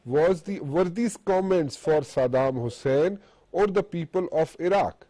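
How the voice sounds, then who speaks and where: A man interviewing someone by telephone, putting questions in halting, hesitant phrasing. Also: on the high side, animated, dark tone, no room sound.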